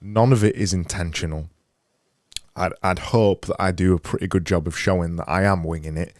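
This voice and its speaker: A man in his thirties with a Yorkshire accent, hosting an interview and speaking softly with frequent stumbles and repeated words. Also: deep voice, fairly even intonation, no echo, clean studio sound. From England. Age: 30s. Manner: halting, repeats words, soft-spoken. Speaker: podcast host. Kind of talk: casual video-call interview. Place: home studio room. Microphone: studio mic on boom arm with headphones.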